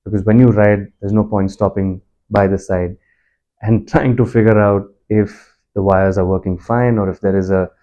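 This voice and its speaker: Male reviewer, low-pitched with a very soft, relaxed tone, conversational and explanatory. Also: lo-fi sound. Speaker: product reviewer and motorbike commuter. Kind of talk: casual spoken product review.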